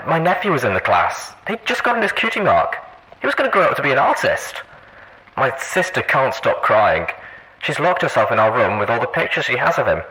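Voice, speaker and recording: A man voicing a distraught pony stable resident recording a grief-stricken, angry log entry in an anguished, halting, emphatic way. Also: medium pitch, pushed and bright, pitched above the performer's own voice, poor audio. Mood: grieving, bitter.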